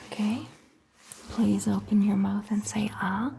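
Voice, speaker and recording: A woman playing a gentle, reassuring vampire doctor in a whispered, breathy ASMR roleplay, soothing and patient. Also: medium pitch, fairly even intonation, a little hiss or hum. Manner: slow, soothing, patient explaining.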